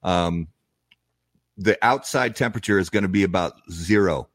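A man's voice: sits low, moderate pace, no echo. A man hosting a cigar podcast from a studio, asking questions in an upbeat, friendly way. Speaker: podcast host. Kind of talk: casual podcast interview.

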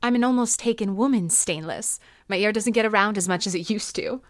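A woman playing a sultry, sharp-tongued nightclub singer and informant in a noir comedy audio drama, flirtatious and sardonic. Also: mid-range voice, fairly quick, no echo, studio quality, lightly acted. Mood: cool, barbed. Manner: flirtatious, sardonic, wordplay-heavy banter.

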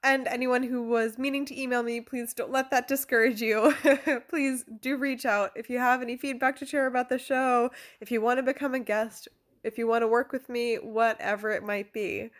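A woman's voice: on the high side, fairly even intonation, moderate pace. A woman in her twenties hosting a podcast, chatty and informal, with a self-deprecating way of talking. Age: 20s. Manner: informal, self-deprecating, given to giggling asides. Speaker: podcast host. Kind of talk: chatty podcast intro and interviewing.

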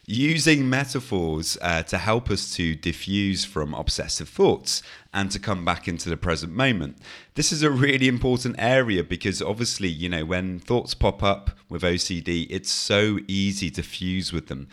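A man hosting his own podcast, talking calmly through ideas with a hoarse, croaky voice and frequent small restarts. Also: low-pitched, moderate pace. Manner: calm, explanatory, with frequent "you know" and small restarts. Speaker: podcast host and anxiety coach. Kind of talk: solo podcast talk.

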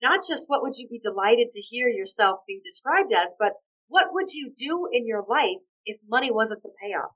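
Guest: hoarse woman in her forties, animated storyteller, fairly high voice. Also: normal speed, rough recording. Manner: expressive, laughs often, acts out quotes.

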